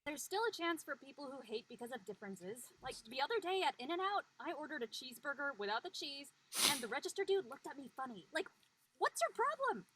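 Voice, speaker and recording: A woman's voice: sits high, very animated, quick pace, background noise. A woman playing a quirky, dramatic teenage friend in a drama read. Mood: indignant.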